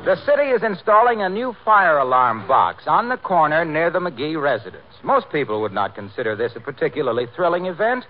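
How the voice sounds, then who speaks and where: An American man announcing in scripted 1940s radio style, polished and salesmanlike. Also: a little high. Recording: muffled 1940s radio recording.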